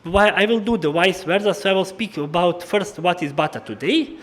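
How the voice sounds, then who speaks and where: Halting Slavic-accented man, slightly high-pitched, lecturing. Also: lively intonation. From former Yugoslavia. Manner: halting, hesitant, with many stumbles and repeated words. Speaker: historian giving an annual lecture. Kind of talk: formal public lecture.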